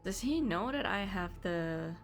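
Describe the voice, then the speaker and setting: A woman game streamer giving casual reaction commentary with drawn-out, emotional exclamations. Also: mid-range voice, expressive. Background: faint game music.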